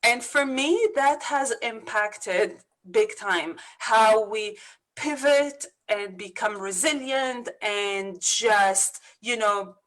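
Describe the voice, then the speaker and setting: A woman's voice: a little high, slow pace, poor audio. Earnest Lebanese woman business coach. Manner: earnest, energetic, motivational. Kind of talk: interview over video call.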